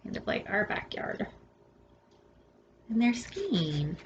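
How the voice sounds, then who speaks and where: A woman hosting a storytime, reading a children's picture book expressively with dramatic pauses and casual asides. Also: medium pitch, highs cut off. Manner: animated, dramatic pauses between phrases. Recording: roomy echo with faint background noise.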